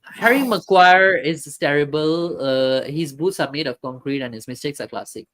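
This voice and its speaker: A man's voice: fairly high pitch, normal speed, fairly bright tone. Man rambling through a football stream. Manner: hesitant, many fillers and restarts. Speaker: football talk stream host. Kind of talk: casual live-stream football chat, reading chat comments.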